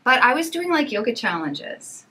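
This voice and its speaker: Bright, chatty woman yoga teacher. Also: a little high, big pitch swings, normal speed, a little hiss or hum. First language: English. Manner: chatty, rambling storyteller full of fillers. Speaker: yoga teacher, podcast guest. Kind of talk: casual podcast conversation.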